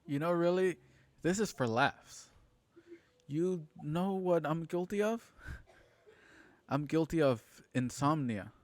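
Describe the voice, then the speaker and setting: A man's voice: fairly high pitch, expressive, slow pace, long pauses, dry recording. An actor as a cornered suburban man in a radio play, indignant and defiant. He repeats himself with accusing emphasis, in a dry recording with little room sound. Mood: incredulous, mocking. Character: indignant, cornered suburban man defying his frightened neighbours. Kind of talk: radio drama acting.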